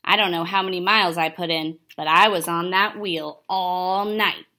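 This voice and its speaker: A woman's voice: on the low side, steady tone, normal speed, pushed and bright. A young woman voicing a cocky, chatty male pet hamster in a storybook, self-satisfied and asking and answering himself. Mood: smug.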